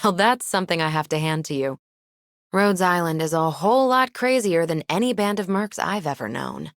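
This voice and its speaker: A composed, candid woman from a video game. Her voice sits slightly low, in a very dry recording. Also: expressive, normal speed, dry recording. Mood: wry admiration. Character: composed, candid adult woman, mercenary or researcher. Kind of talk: scripted game character lines.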